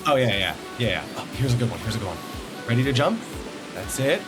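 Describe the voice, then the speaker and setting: An excited teenage boy played by a man in a drama table read. He is encouraging and boyish in his eagerness, speaking at a middle pitch over clear background music. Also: fast, close to an everyday voice. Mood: eager, encouraging. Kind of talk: film script table read.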